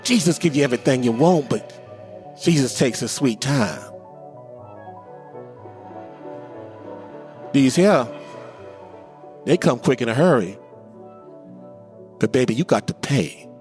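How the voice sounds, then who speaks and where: A man voicing a wise older grandmother who speaks slowly in a low, hushed, warning tone. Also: lively intonation, close to an everyday voice. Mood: coaxing. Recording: long hall reverb, faint music.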